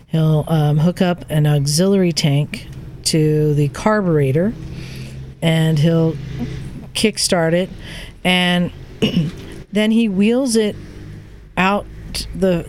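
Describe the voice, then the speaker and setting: An enthusiastic woman hosting a podcast, telling a story casually. She recounts what she saw with open excitement and a conversational flow. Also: low-pitched, slight background noise. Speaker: podcast host recounting a trip.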